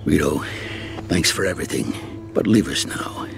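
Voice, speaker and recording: An actor playing a stubborn old American mafioso in a video game, gruff, wry and unafraid. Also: male voice, a bass voice, normal speed, over music. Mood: resigned, calm. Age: older. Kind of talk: video game cutscene acting.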